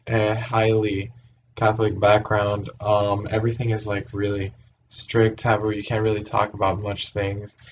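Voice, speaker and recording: A young man from Puerto Rico with a low voice hosts a casual chat, hesitant and full of fillers. The sound is dull and lo-fi, with a faint hiss underneath. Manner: hesitant, many fillers and restarts. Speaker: host.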